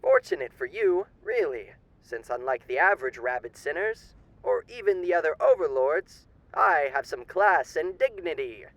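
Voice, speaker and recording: A man's voice: a little high, big pitch swings, normal speed, few pauses, dry recording, rough recording. A man playing a suave demon overlord with theatrical, old-fashioned genteel diction in an audio roleplay. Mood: smug, courteous. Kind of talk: ASMR character roleplay.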